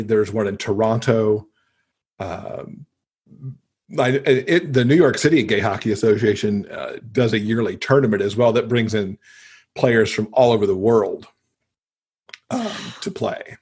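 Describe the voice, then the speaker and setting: Husky-voiced man chatting in an interview. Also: lowish voice, unhurried, a little mellow. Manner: conversational, explains at length with asides. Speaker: podcast guest. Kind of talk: casual interview conversation.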